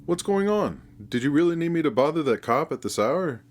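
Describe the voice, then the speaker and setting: A man's voice: fairly high pitch, sing-song, fairly quick, slight background noise, pitched above the performer's own voice. A man voicing a doubting, irritable father in a horror story, with a snarky, retorting manner. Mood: snarky, irritated.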